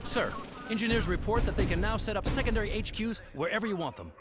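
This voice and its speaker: A man playing an alert, dutiful soldier giving brisk, deferential reports to his commander in a video game. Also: high voice, expressive. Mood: urgent reporting. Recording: loud music and battle sounds behind. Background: music, artillery and vehicles.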